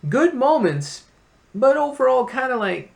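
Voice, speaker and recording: A man's voice: extremely high pitch, sing-song, unhurried, gravelly. A man hosting a casual movie review, talking as an enthusiastic fan in a room full of memorabilia.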